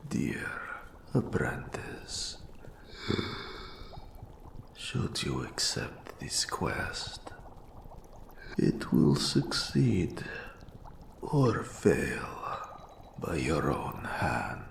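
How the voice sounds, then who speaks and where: Eldritch dark-magic teacher, very slow, creaky, breathy whisper, ominous, archaic formal wording, fantasy audio roleplay. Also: male voice, slight background noise, exaggerated voice. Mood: solemn. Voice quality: breathy whisper with gravelly low rasp. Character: odd, grave eldritch mentor, master of forbidden dark magic. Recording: roomy echo on the voice.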